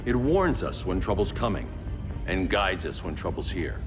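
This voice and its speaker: A deep, terse, grim vigilante. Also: male voice, big pitch swings, normal speed. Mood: grave, businesslike. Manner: terse, grave, commanding. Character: stern, guarded vigilante billionaire father, middle-aged. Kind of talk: video game cutscene acting. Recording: long reverb, loud music behind.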